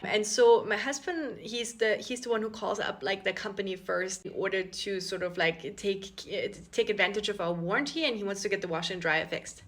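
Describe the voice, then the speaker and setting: A woman's voice: medium pitch, moderate pace, few pauses. A woman in her thirties from Austria with an Austrian accent, chatting casually about her life abroad, full of fillers and restarts. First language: German. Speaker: expat vlogger. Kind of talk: casual storytelling to camera.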